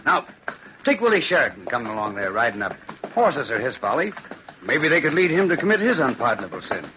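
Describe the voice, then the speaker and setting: Old-time radio rustic: blunt, wary, folksy working man, bright forceful voice, animated. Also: medium pitch, brisk. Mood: gruffly earnest. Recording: dull, hissy old radio recording.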